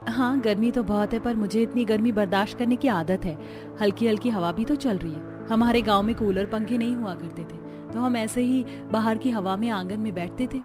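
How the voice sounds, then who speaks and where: A woman voicing a calm, modest young village daughter-in-law in a cartoon story, gentle and polite. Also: medium pitch, brisk, over music, close to an everyday voice.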